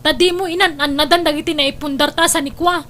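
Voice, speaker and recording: A woman's voice: high-pitched, steady tone, fairly quick, pushed and bright. A woman with an Ilocano accent playing a nagging, defiant wife from a poor household in a family drama. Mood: reproachful. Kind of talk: Ilocano radio-style family drama acting.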